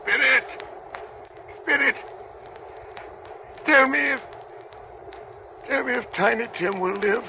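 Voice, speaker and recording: A man playing an anguished old miser who pleads haltingly with a spirit in a 1930s radio drama. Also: very high-pitched, sharp tone, exaggerated voice. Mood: desperate pleading. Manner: pleading, halting, repeating himself. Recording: muffled, noisy old radio broadcast.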